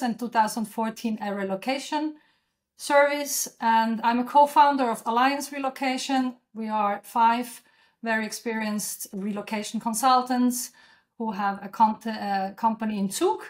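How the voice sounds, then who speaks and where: A middle-aged woman with a Swiss German accent, introducing herself as a guest consultant in a webinar. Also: a little high. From Switzerland. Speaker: relocation consultant, guest speaker.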